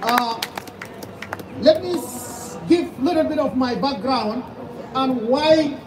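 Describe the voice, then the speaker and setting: A man in his thirties with a Sudanese accent, a pastor giving a fervent rally speech full of rhetorical questions and sometimes shouting. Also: extremely high pitch, slow pace. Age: 30s. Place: outdoor rally. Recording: distant, echoey outdoor sound with loud background noise.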